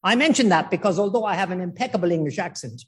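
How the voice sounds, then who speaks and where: A middle-aged man from Ireland giving an informal law lecture, slow and emphatic, with joking asides and digressions. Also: sits high, expressive.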